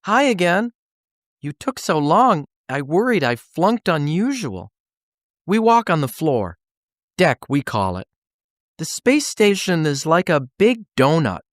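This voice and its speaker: A man's voice: fairly high pitch, sing-song, takes it slowly, dry recording, clean studio sound. A man voicing an earnest, slightly sheepish young boy in a children's audiobook, with a light boyish delivery. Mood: eager. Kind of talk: dramatised children's audiobook reading.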